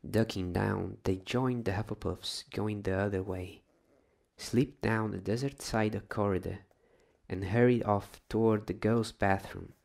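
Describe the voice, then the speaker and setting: A soft-spoken man reading a novel as an ASMR bedtime story in a hushed, deliberate, dramatised way. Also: on the low side, steady tone, creaky.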